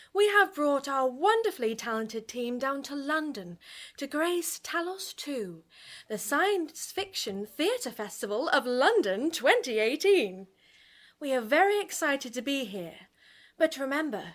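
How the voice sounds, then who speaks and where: A woman's voice: high voice, exaggerated voice. A woman playing a bewitching, conspiratorial radio horror hostess, with a dramatic, relishing delivery in an old-fashioned style.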